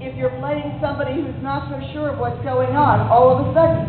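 A middle-aged voice actress with a deep voice for a woman, telling lively stories on a convention panel. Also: fairly quick, background noise. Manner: lively, laughing, acts out stances while talking. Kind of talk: animated storytelling panel talk. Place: convention ballroom stage, large indoor hall. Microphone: handheld mic through PA, recorded from the audience. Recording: far, echoey hall, tinny phone-like sound.

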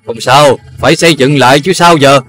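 A man's voice: medium pitch, fairly quick, a bit bright, faint music behind, close to an everyday voice. A man voicing a formidable but kindly robot in a children's cartoon, theatrical and easygoing. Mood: forgiving. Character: kindly robot hooded figure, forgiving yet formidable. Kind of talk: Vietnamese children's cartoon dubbing.

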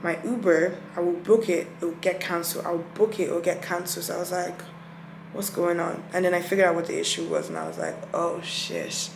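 A woman's voice: fairly low pitch, expressive, fairly quick, vocal fry, echoey room, music in the background, low-quality recording. Chatty young woman vlogging on a phone. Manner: chatty, rambling, laughs often. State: tired. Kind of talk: casual vlog chat to camera. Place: indoors at home, bedroom. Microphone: phone held at arm's length.

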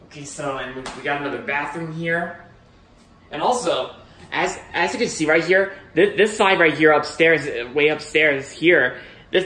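A young man with a slightly high voice walks through his new house with a phone camera and points things out as he goes. His talk rambles, with words repeated and sentences restarted. It sounds casual and unscripted, recorded on the phone inside the home. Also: fairly bright tone. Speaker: vlogger giving a house tour. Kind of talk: casual house tour narration. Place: indoors in a house, walking from room to room. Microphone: handheld phone camera held by the speaker, off screen.

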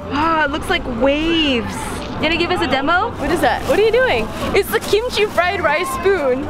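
A woman's voice: very high-pitched, lively intonation, moderate pace. A young woman hosting a food vlog, giving bubbly, playful commentary as she tastes dishes at an outdoor night market. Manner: bubbly, playful, describes flavors with silly comparisons. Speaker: food vlogger host. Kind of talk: casual food tasting commentary. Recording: loud crowd and music behind.

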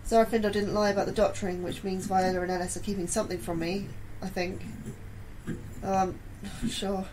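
A woman's voice: mid-range voice, flat delivery, fairly quick, a little mellow, a little hiss or hum, close to an everyday voice, poor audio. Woman playing an anxious, earnest scientist. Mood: troubled. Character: anxious female astrobiologist, earnest and frightened. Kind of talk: sci-fi horror audio drama acting.

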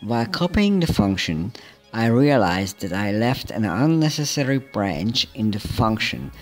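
A man calmly narrating a programming tutorial step by step in a scripted voice-over. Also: mid-range voice, measured pace. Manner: calm, methodical, explaining each step. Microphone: voice-over over screen recording. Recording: soft background music.

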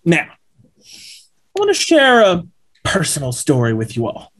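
A man's voice: a little high, huge pitch swings, unhurried, a bit bright, full character voice. A man in his thirties playing a slick, upbeat corporate representative lecturing schoolboys at a script table read. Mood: earnest, persuasive. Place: indoors at home on a video call.

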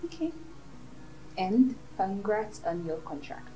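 A woman's voice: mid-range voice, very animated, takes it slowly, over music, poor audio. A woman playing a reserved, wary employee, speaking politely and hesitantly in a screen drama. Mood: guarded, polite.

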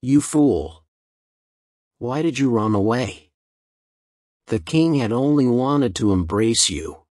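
A woman voicing a cunning male jackal in a storybook, smooth and coaxing. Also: extremely low pitch, lively intonation, slow, long pauses, a little mellow, no echo, studio quality, pitched below the performer's own voice, heavily acted. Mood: scheming. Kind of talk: storybook reading.

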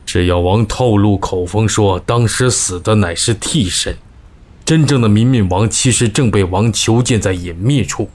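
An actor playing a shrewd, deferential royal advisor in a costume audio drama, speaking in a measured, deliberate, scheming way. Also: male voice, fairly low pitch, darkish tone, lightly acted. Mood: sly, assured. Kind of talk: Chinese costume radio drama acting.

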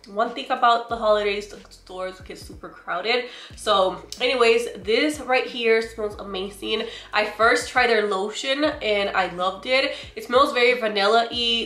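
A young woman with a naturally loud, mid-pitched voice talks straight to her viewers in a chatty, emphatic way. She films in her bedroom, and the room gives her voice a noticeable echo. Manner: chatty and emphatic, often says "girl" and "you guys". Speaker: beauty vlogger. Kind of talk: casual product haul talk to camera. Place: bedroom, indoors.

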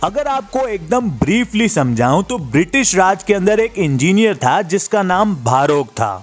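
A man in his thirties tells the story of his trip in a chatty, excited voice-over. His voice sits high and swings up and down a lot. Soft music plays quietly underneath. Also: moderate pace. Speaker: travel vlogger. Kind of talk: voice-over travel narration.

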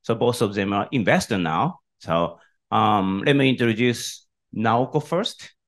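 Slow-talking man introducing a speaker. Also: lowish voice, animated. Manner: halting, with fillers. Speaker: session moderator. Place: conference hall.

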